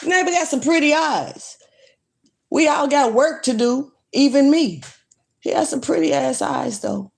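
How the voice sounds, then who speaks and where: A woman's voice: high voice, lively intonation, unhurried, gravelly. A woman, ranting bluntly and swearing freely in a casual livestream. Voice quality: strong voice. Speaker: livestream vlogger. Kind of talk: casual rant to camera.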